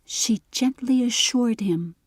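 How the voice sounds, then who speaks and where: A woman narrating a fairy-tale audiobook in a measured, expressive storytelling style. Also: a little high, slightly rough, dry recording. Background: music.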